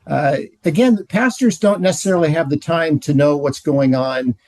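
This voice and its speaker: A man giving an informal presentation as a ministry presenter, halting and often repeating his phrases. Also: fairly high pitch, darkish tone, dry recording.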